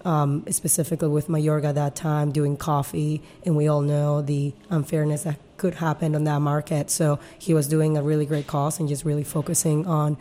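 A woman speaks in a low, mellow voice. She is a company founder in a podcast interview, earnest and thorough, explaining at length with many small fillers. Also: flat delivery, moderate pace, few pauses, a little hiss or hum. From Peru.

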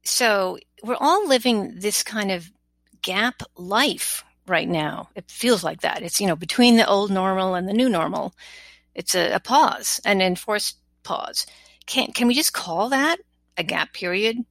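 A woman's voice: medium pitch, expressive, measured pace, a bit bright, dry recording. A woman hosting a podcast, asking prepared questions in a conversational interview style. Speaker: podcast host.

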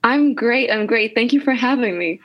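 A woman's voice: fairly high pitch, moderate pace, highs cut off. A woman in her twenties from Greece, a guest in an interview, talking casually with many "like" and "um" fillers. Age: 20s. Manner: chatty, lots of "like" and "um" fillers. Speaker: guest, agency founder.

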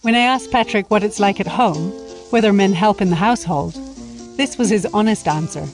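A woman hosting and narrating a documentary feature in a measured, explanatory scripted read. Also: medium pitch, faint music behind. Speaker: host and narrator.

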